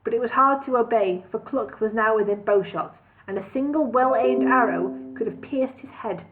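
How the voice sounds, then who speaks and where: A woman reads a comic story aloud, playful and chatty between passages. Her voice sits fairly high. The sound is narrow and tinny, like a phone line. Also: normal speed, music in the background. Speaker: reader of a parody novel. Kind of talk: casual livestream audiobook reading.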